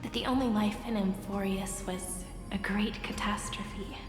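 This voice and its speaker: An actress playing a gentle, wistful young woman storyteller in a video game, speaking softly and lyrically. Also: medium pitch, steady tone, natural voice. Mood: wistful, tender. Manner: slow, measured, lyrical. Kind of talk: dramatic video game voice acting. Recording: echoey hall reverb under loud music.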